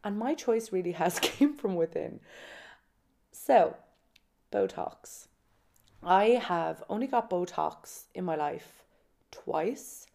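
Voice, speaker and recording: A woman's voice: fairly low pitch, expressive, slow, creaky, long pauses, dark tone. A woman in her late twenties or so hosting a solo podcast, chatting candidly and casually, with self-mocking humor and dramatic emphasis. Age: late 20s or about 30. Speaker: podcast host. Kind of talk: casual solo podcast monologue, personal storytelling.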